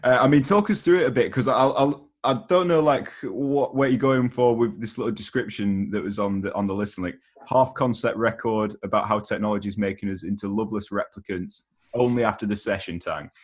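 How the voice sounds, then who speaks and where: A man's voice: on the low side, fairly quick. A man hosting a casual interview, speaking in a matey, slangy and enthusiastic way. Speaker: interviewer, host.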